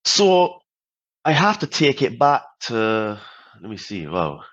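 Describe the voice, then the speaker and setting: A man's voice: medium pitch, sing-song, slow, a bit bright, dull audio. A middle-aged man from Barbados, a podcast guest telling his story in short reflective phrases, with many "you know"s.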